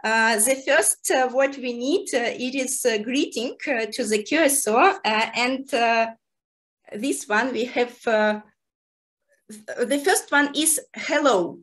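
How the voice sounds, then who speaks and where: A woman's voice: on the high side, slow pace, a bit bright. A woman who is not a native English speaker, giggly and cheerful, chatting casually in a language tutorial as host and learner. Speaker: amateur radio host learning Japanese.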